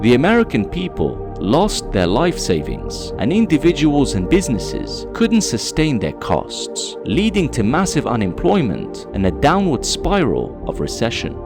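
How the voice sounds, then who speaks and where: A man reading scripted documentary narration in a dramatic, emphatic storytelling manner. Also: mid-range voice.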